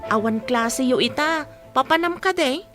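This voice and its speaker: A woman's voice: high-pitched, expressive, measured pace, fairly bright tone, soft background music. A woman playing a fussy, gossipy household maid with an Ilocano accent and a nagging, inquisitive manner in a radio comedy drama. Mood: exasperated, nagging.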